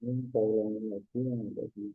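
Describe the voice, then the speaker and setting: A man's voice: fairly low pitch, level tone, quick pace, narrow, tinny audio, faint music behind. A man giving an informal step-by-step demonstration as a lecturer, repeating himself with short checking tags, with a slight cough. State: bit of a cough, clearing throat. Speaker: lecturer teaching a software diagram class. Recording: echoey room sound.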